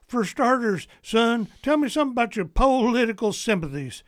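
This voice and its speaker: A man's voice: extremely high pitch, big pitch swings, moderate pace, no room sound, clean studio sound. A man playing a domineering, folksy old Southern grandfather ghost in a comic radio play. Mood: indignant. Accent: American South. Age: older. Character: cantankerous old Southern great-great-great grandfather, a long-dead ghost. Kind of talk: comic radio theater acting.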